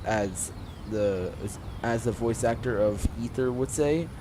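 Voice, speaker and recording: A man giving casual gameplay commentary in a halting way, repeating his words. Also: medium pitch, noisy background. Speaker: gamer playing and reacting. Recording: loud game music behind. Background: video game music.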